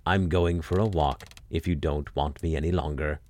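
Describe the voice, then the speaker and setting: A man's voice: rumbling low, steady tone, normal speed, a little mellow, voice pushed down. A man voicing a sly giantess wife in a fairy tale, talking dismissively to her husband. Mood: casual. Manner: dismissive, wifely. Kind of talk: fairy tale storybook character.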